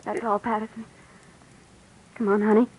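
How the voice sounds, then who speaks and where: A woman's voice: medium pitch, level tone, normal speed, a little mellow, husky, natural voice, low-quality recording. An actress playing an anxious young wife waiting up for her husband, pleading and worried in 1940s radio style. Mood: tired, firm.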